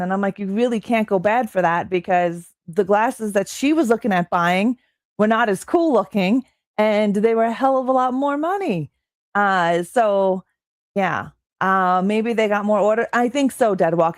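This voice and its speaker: A young adult woman streaming from Canada, chatting casually with her viewers in an excitable, filler-heavy way, with a slightly strained voice. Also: medium pitch, no room sound, clean studio sound. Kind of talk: casual live-stream chat reading chat.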